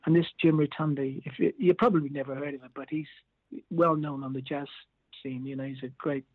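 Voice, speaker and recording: A male musician chatting as a guest in a relaxed, rambling way, with frequent chuckles. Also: mid-range voice, a little fast, dry recording. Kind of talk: casual interview chat. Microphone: phone line. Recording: tinny dry phone line.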